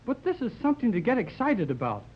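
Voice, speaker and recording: An actor playing an eager, excitable young radio operator in 1930s radio serial style, breathless and insistent. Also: male voice, very high voice, quick pace, slightly rough, heavily acted. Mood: excited, insistent. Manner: breathless, insistent, boyish questioning. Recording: muffled old 1930s radio recording.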